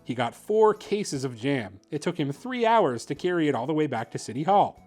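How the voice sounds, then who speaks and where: Children's story host, male, somewhat high register, expressive narration, light music bed. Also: moderate pace. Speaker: host reading children's picture books.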